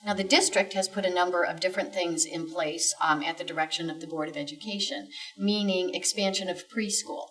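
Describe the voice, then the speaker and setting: A woman with a fairly low voice explains things on a panel as an education official. Her delivery is measured, formal and methodical, picked up from slightly away from the microphone. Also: recorded from a distance, poor audio. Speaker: school district director, guest.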